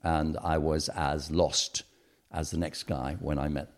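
A man's voice: rumbling low, little pitch movement, unhurried. A middle-aged man as a podcast guest, talking thoughtfully about his upbringing with frequent hesitations. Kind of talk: reflective interview conversation.

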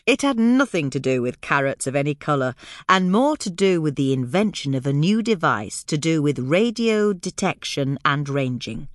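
Woman narrating, lively intonation. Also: sits low, no echo, studio quality. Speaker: podcast narrator reading a gardening article. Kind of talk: scripted narration.

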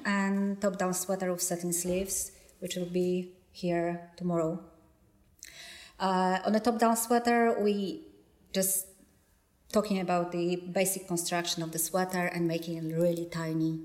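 A woman's voice: on the low side, a little mellow. A woman with a Polish accent, a knitting designer and teacher, speaking hesitantly with many fillers in an interview. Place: yarn festival venue. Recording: echoey room.

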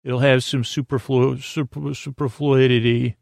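A man delivers a meandering bedtime monologue as a podcast host. His voice has plenty of vocal fry and sits in a very dry recording. He is soothing and whimsical, often halting, tripping over words and drifting off on tangents. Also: medium pitch, level tone, a little mellow, no echo. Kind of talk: rambling bedtime monologue to help listeners sleep.

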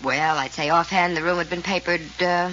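Low-voiced, sly femme fatale played by an actress. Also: female voice, steady tone, a little fast, fairly bright tone, lightly acted. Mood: mocking. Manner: teasing, sardonic. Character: sly, mocking, self-possessed young femme fatale. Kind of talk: 1940s radio mystery acting. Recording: muffled, noisy old radio recording.

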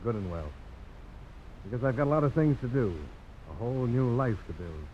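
An actor playing a rugged, resolute frontier adventurer in a 1950s radio drama, terse, blunt and self-assured. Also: male voice, mid-range voice, very animated, a little fast, natural voice. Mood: weary, reflective. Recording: dull, noisy old radio broadcast.

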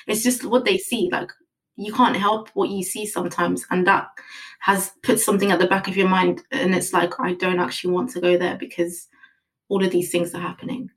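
A woman's voice: on the low side, level tone, normal speed, rough recording. A young woman earnestly telling a personal story in a casual conversation.

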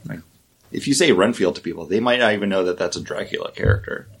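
A man co-hosting a casual podcast, chatting about movies in a joking, animated way. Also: low voice, fast talker, gravelly, husky. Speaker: podcast co-host.

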